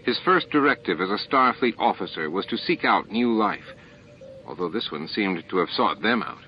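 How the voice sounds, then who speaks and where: Low-voiced man narrating a novel, muffled recording. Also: lively intonation, normal speed, slight background noise. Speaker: audiobook narrator. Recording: dull, lo-fi cassette-like sound. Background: background music.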